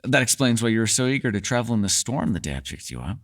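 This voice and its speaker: A man's voice: medium pitch, very animated, quick pace. A man playing a mysterious, erudite elf in a fantasy ASMR roleplay, speaking in a playful, teasing, slightly formal way. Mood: intrigued, teasing.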